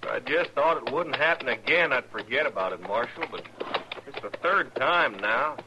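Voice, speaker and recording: An American actor playing a plain-spoken, aggrieved turkey farmer with a rural accent, folksy and earnest, in a radio western. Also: male voice, mid-range voice, animated, normal speed, natural voice. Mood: reluctant, aggrieved. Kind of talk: 1950s radio western acting. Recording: dull, noisy old radio recording.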